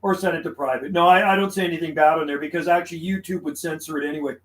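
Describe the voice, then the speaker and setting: A man's voice: fairly high pitch, fairly even intonation, very fast, background noise. A man chatting casually and making radio calls on a live stream, easygoing and friendly, often saying man and buddy. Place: radio room inside his house.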